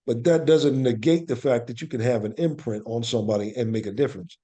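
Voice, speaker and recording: A man's voice: mid-range voice, fast, dark tone. A man answering as an interview guest, speaking in a reflective, conversational way and explaining earnestly with emphatic repetition. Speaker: interview guest, actor and playwright.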